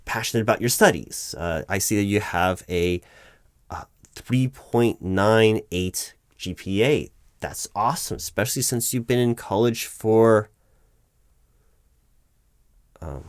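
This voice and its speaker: A patient, slightly baffled corporate recruiter, played by a man in an audio roleplay interview. His voice sits on the low side, recorded close and dry. He stammers and restarts as he picks diplomatic words. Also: no room sound. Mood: diplomatic, puzzled. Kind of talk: one-sided spoken ASMR roleplay interview.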